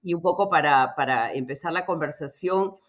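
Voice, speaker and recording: A woman hosts an academic conversation. Her voice is fairly low, and she speaks deliberately and emphatically, often checking in with short tag questions. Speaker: host. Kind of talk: interview introduction, academic discussion.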